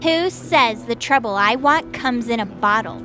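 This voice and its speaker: A woman's voice: a little high, animated, measured pace. A woman voicing a brash, cocky child-like goddess who provokes others in a fantasy audio drama. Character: brash, defiant centuries-old goddess who looks like a child. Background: music.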